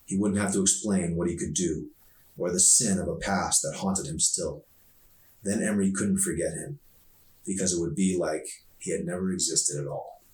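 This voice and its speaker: A low-pitched man narrates a novel as its author, in an expressive storytelling style. The recording is roomy and echoey, with him a little away from the mic. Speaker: author reading his novel. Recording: echoey room, distant from mic.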